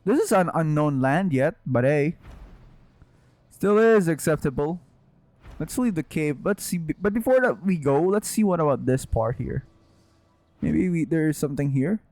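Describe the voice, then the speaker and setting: A man doing casual live gameplay commentary, exclamatory and quick to swear. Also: fairly high pitch, animated, a little mellow, dry recording. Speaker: game streamer.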